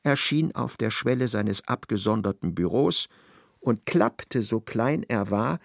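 An actor as the wry, knowing narrator of a radio play, reading literary prose in a measured way. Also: male voice, fairly low pitch, lively intonation, creaky, no room sound, clean studio sound, exaggerated voice. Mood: dryly ironic.

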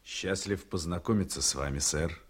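An actor playing a courteous, deferential aide to a politician in a Russian radio drama. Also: male voice, sits low, normal speed.

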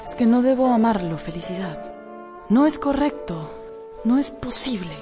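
An actress playing a restless, yearning doctor's wife in a radio drama, genteel and restrained. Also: female voice, on the high side, lively intonation, normal speed, over music, natural voice. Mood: anguished.

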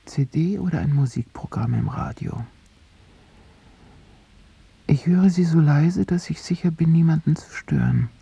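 A man narrating a radio drama in the first person, in a hushed voice that often drops into a whisper. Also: on the high side, a little slow, pauses often. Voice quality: gravelly, raspy voice. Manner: hushed, partly whispered, careful.

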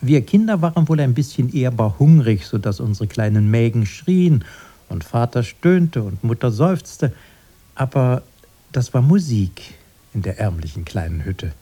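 An actor playing a bossy, bitter brother in a radio drama, husky, blustering and insistent in a mock-earnest way. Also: male voice, medium pitch, very animated, unhurried, dark tone. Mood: mock-earnest nostalgia. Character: bossy, bitter brother recalling a poor childhood.

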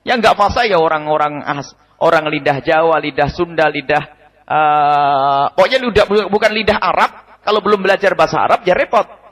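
A man giving a lively, emphatic religious study lecture, repeating his key points and chuckling now and then. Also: fairly high pitch, moderate pace. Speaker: preacher. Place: study gathering hall. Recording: radio broadcast with long hall reverb.

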